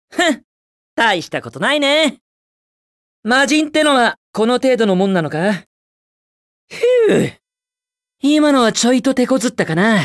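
An actor playing a cocky, upbeat young hedgehog hero in a video game, with a brash, casual, self-assured delivery. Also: male voice, extremely high pitch, fairly bright tone, no echo, clean studio sound, exaggerated voice. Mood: cocky, taunting. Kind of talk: Japanese video game voice clips.

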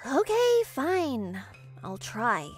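A woman voicing a bashful but feisty, secretly sweet teenage schoolgirl maid in a manga romcom dub, shy and halting. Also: high voice, huge pitch swings, heavily acted. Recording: music behind the voice.

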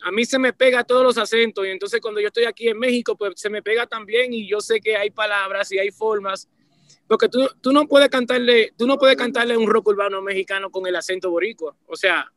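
A man's voice: sits high, fairly quick, no echo. A man in his forties with a Caribbean Spanish accent, a band leader chatting animatedly in an interview over a video call. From Puerto Rico and Dominican Republic. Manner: animated, repeats "¿me entiendes?", restarts often. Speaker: band leader, musician guest. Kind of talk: casual interview chat. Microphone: video call webcam.

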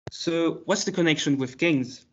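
A man presenting a prepared academic paper at a conference, reading in a measured way with occasional restarts. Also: a little high. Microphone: video call. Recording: slightly muffled video call audio.

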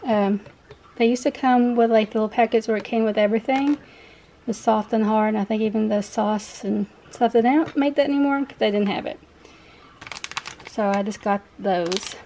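A woman's voice: on the high side, fairly even intonation, normal speed, warm tone, a little hiss or hum. A young woman chatting casually and unscripted in a rambling, self-correcting way as she shows products to a handheld camera in a home kitchen. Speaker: vlogger, parent showing a grocery haul.

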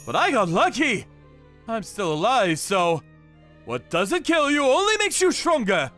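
Male actor as brash young gangster, manga cartoon, hot-headed, sharp forceful tone, animated pitch. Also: measured pace, soft background music, heavily acted. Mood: fired up. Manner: punchy, forceful. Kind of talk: animated manga dub dialogue.

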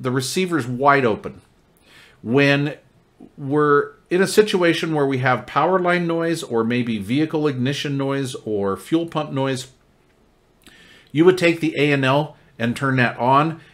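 Man, product reviewer, casual hands-on demo style, explanatory, step-by-step, medium pitch. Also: a bit bright. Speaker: product reviewer demonstrating a CB radio.

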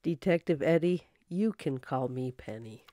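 A woman as a mellow, polite detective's assistant. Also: low-pitched, expressive, unhurried, no echo. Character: polite, efficient female detective's office assistant. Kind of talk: old-time radio detective drama.

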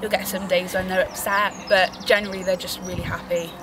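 A woman's voice: lowish voice, flat delivery, brisk. An eighteen-year-old woman, talking cheerfully with some fillers about volunteering in an interview. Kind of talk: documentary interview. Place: outdoors by a wall. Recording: outdoor, loud ambient noise.

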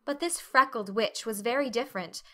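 A woman audiobook narrator with a fairly high, bright voice. She reads a novel in a scripted, dramatic storytelling style. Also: moderate pace.

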